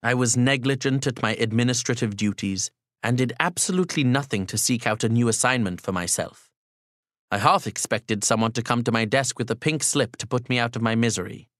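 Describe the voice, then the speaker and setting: Formal, measured male audiobook narrator. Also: medium pitch, a bit bright, no echo, clean studio sound. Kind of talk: first-person literary narration.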